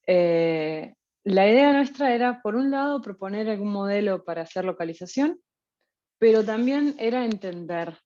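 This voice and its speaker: A woman with a Uruguayan Spanish accent gives a casual guest lecture, easygoing and full of small fillers and restarts. Her voice sits a little low for a woman. The audio is somewhat muffled and lo-fi. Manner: relaxed, many fillers and restarts. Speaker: guest lecturer, postdoctoral researcher. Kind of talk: informal academic talk.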